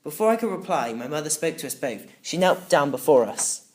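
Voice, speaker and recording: A woman's voice: low-pitched, sing-song, brisk, darkish tone. A woman narrating a children's story in the first person, reading in a dramatic, emotive way. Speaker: audiobook narrator.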